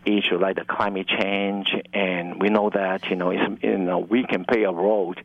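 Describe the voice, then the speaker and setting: A middle-aged man with a Hong Kong accent, speaking in halting, fragmented phrases as an interview guest. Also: sits low, little pitch movement, no echo, muffled recording. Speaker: university dining director, interview guest. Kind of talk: conversational radio interview.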